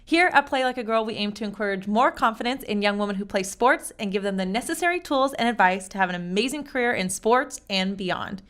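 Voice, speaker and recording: A bubbly young woman hosting a sports podcast, bright and excited in her delivery. She is from Northern California and keeps a cheerful, quick conversational tone with frequent laughter. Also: medium pitch. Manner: bubbly, enthusiastic, laughs often. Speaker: podcast host. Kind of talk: upbeat podcast interview.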